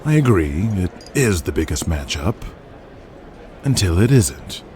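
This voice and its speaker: A man playing a cool, calculating male wrestling boss in an audio drama, measured and deliberate. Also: low voice, very animated, darkish tone, a little hiss or hum.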